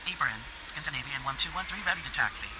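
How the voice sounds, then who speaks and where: A man voicing a brisk airline pilot in a simulator game, making formal, clipped radio calls. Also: fairly high pitch, expressive, close to an everyday voice. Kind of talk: clipped aviation radio read-back. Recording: loud noise over the voice.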